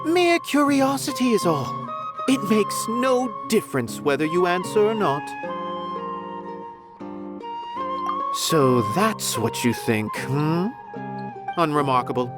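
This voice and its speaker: A woman's voice: on the low side, sing-song. A woman voicing an aloof, condescending theater philosopher in a video game, pompous and musing. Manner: pompous, musing, deliberate phrasing. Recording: faint music behind the voice.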